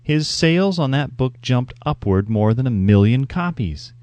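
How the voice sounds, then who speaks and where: A man's voice: mid-range voice, animated, moderate pace, a little mellow, dry recording. A man reading a scripted audiobook as narrator, with an emphatic, instructive delivery.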